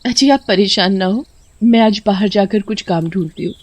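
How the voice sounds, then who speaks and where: A woman's voice: mid-range voice, moderate pace, darkish tone, lightly acted. Woman narrator voicing a worried, devoted wife. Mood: reassuring. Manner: anxious yet encouraging. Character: worried, supportive wife and mother. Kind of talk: dramatised story.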